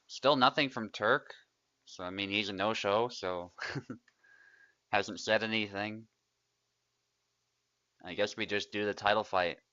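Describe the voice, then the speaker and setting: Young man, chatty livestream commentary, lowish voice, dry close mic. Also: takes it slowly, pauses often, no room sound, dull audio. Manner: relaxed, chatty, laughs often, trails off quietly. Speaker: tournament player and commentator.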